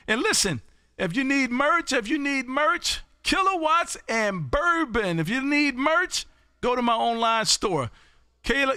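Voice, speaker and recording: An American man calling out as an amateur radio live streamer, loud and showy, often repeating his phrases. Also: very high-pitched, clear, resonant tone, no echo, studio quality. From Texas. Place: home radio room.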